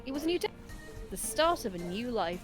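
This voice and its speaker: A woman's voice: a little high, big pitch swings, brisk. A woman reading a first-person visual novel story aloud as a stream co-host. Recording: music behind the voice. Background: music.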